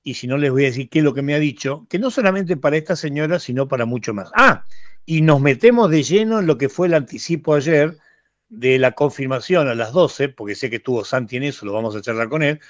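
A man's voice: mid-range voice, expressive, a little fast, dry recording, muffled recording. A man with an Argentine accent hosting live radio in a casual, chatty way that often wanders off on asides. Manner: chatty, digressive. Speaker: radio host. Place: radio studio.

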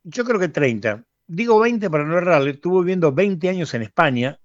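A man's voice: a little high, expressive, brisk, no echo. Chatty Argentine man hosting radio, dull sound. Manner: chatty, digressive. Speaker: radio host. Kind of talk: casual live radio talk. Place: radio studio.

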